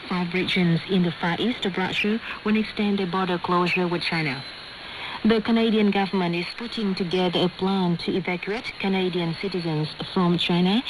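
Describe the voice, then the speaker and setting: A woman newsreader reads over clearly noisy shortwave radio. Her voice sits on the low side, and her delivery is formal and scripted, in the manner of an international news bulletin.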